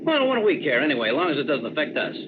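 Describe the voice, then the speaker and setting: Brash, lively salesman, higher-pitched. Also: very fast. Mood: smug. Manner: breezy, glib, chummy. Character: brash, chummy, self-serving traveling salesman. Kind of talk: old-time radio drama acting. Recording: tinny noisy old radio recording.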